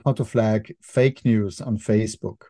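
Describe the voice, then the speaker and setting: A man's voice: lowish voice, fairly even intonation, measured pace, warm tone, no echo, studio quality. A middle-aged man with an Austrian accent, a filmmaker guest on a podcast, explaining thoughtfully with frequent restarts and fillers. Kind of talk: podcast interview.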